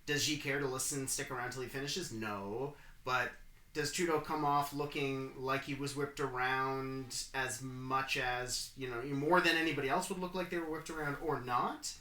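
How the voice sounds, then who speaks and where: A man with a bright, mid-pitched voice weighs in casually, padding his points with fillers and quick asides. The sound is roomy, with clear echo around him. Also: steady tone. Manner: conversational, lots of fillers and asides. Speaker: podcast co-host. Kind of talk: casual political discussion.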